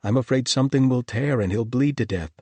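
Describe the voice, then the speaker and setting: A man's voice: a little fast, warm tone, slightly rough, no echo, natural voice. A man voicing an anxious young male healer who talks in a worried, strained way. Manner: worried, rising pitch.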